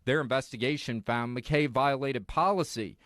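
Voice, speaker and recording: A man's voice: medium pitch, moderate pace, a bit bright, dry recording, studio quality. A man with an American accent reading a scripted investigative news report as a TV reporter.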